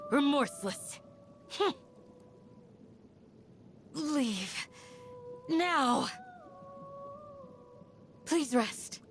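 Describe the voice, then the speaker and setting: A woman voicing a calm, protective young guardian of a desert village in a video game, gentle and earnest. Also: sits high, slight background noise, heavily acted. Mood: fierce battle cries. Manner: gentle, earnest, composed in talk. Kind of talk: video game character voice lines.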